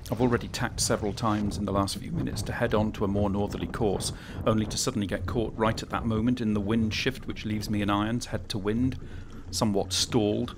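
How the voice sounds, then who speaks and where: A man in his sixties filming himself aboard a small open sailing boat on an estuary. He talks in a relaxed, chatty, self-mocking way, with many fillers. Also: on the low side, brisk, hardly any pauses. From England. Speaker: sailing vlogger. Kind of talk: casual vlog narration. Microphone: action camera mounted on the boat. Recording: clear wind and water noise.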